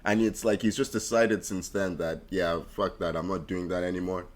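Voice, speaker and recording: Male podcast co-host, low voice, casual and informal football talk. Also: steady tone, moderate pace.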